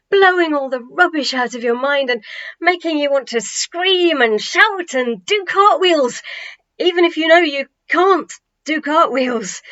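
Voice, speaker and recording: A woman reading a children's novel aloud as an expressive storybook narration, with dramatic, emphatic phrasing and deliberate pauses. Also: high voice, lo-fi sound.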